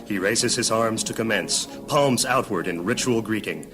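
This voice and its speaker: A man narrating a high fantasy audio drama in a solemn, dramatic storytelling manner. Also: normal speed. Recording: loud music and rain behind. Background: music, rain.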